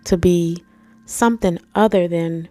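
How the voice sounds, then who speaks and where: Stuffy-nosed woman teaching scripture, mellow. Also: on the low side, steady tone, unhurried, no echo, soft background music. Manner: conversational, addresses viewers as family and guys. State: stuffy nose from allergies. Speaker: Bible teacher and channel host. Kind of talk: scripture reading and informal Bible teaching.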